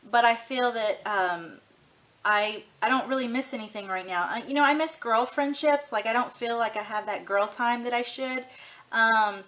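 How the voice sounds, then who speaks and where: An easygoing woman in her thirties chats to camera in a rambling, frank way, full of ums and you-knows. Her voice sits a touch high, with a bright, forceful edge. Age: 30s. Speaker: vlogger doing a tag video. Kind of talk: casual chat to camera.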